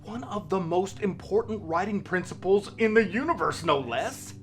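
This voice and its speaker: A man acts out a bookish male professor ghost for a comedy web series. His voice swoops high and low as he lectures with theatrical, pedantic glee, with faint music behind him. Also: expressive, normal speed. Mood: pompous, musing. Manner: dramatic, lecturing.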